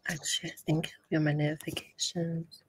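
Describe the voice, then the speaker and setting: A woman co-hosting a casual podcast, unhurried and mumbling asides to herself. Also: deep voice, flat delivery, noisy background.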